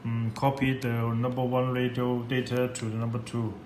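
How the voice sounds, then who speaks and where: A man walks through a product tutorial in an echoey, slightly noisy room. His English is simple and halting, he often repeats himself, and his delivery stays quite monotone. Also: medium pitch. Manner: halting, repetitive, simple non-native English. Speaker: product demonstrator. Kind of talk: unscripted software tutorial. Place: indoor room at a computer desk. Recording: echoey room with background noise.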